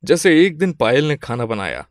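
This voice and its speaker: A man's voice: medium pitch, big pitch swings, normal speed, no echo, clean studio sound. A man reading scripted moral-story narration as the storyteller.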